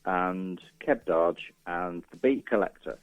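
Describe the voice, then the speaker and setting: A man's voice: deep voice, takes it slowly, faint music behind. Dull lo-fi recording of a hesitant man. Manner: hesitant, informal, matey. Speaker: interview guest, musician. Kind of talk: casual radio interview chat.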